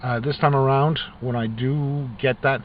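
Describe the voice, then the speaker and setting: A man's voice: mid-range voice, steady tone, normal speed. Brief: middle-aged man, casual diary vlog, rambling delivery, muffled lo-fi audio with light room hum. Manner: rambling, with frequent restarts and fillers. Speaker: vlogger. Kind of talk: casual talk to camera. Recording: dull lo-fi recording with faint ambience.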